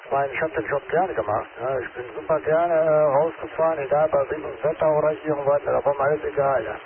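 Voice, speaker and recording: A man's voice: on the high side, steady tone, fast talker, a crowd in the background. A man with a northern German accent chatting casually over amateur radio from home, often tagging his sentences with "ne?". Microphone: handheld radio transceiver. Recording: narrow radio band with static and background noise.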